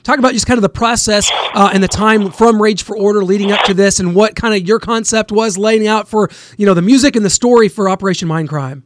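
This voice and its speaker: A man's voice: sits high, fast, hardly any pauses. A man hosting a radio interview, asking eager, rambling questions with frequent restarts. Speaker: radio show host.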